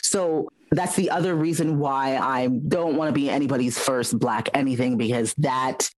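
A woman guest telling a personal story frankly, in quick animated bursts full of fillers. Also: very deep voice, no echo, low-quality recording. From United States, raised by Trinidadian parents. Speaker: podcast guest. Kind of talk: candid conversational storytelling.

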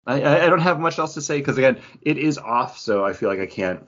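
A man's voice: medium pitch, animated, fairly quick, fairly bright tone. Guy cohosting a podcast, dull lofi sound, chatty review, kinda hesitant and fixes his words as he goes. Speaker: podcast co-host. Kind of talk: casual beer review chat.

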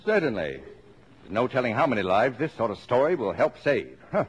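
Blustering, animated American family father. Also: medium pitch, brisk, breathy edge. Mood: brisk, stubborn. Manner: brash, bossy, emphatic. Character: blustering, stubborn, jovial middle-aged father of a big family. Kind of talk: 1950s American radio drama acting. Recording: noisy vintage radio broadcast recording.

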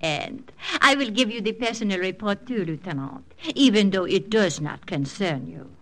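A woman's voice: mid-range voice, moderate pace, fairly bright tone, natural voice. An actress in a 1940s radio drama playing a flirtatious French woman with a French accent, teasing and lilting. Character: coy French woman, teasing spy helper.

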